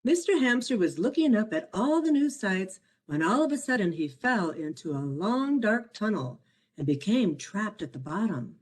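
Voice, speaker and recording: A woman reading her own children's picture book aloud as a storyteller, in an expressive, measured way. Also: medium pitch. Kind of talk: scripted storybook narration for children.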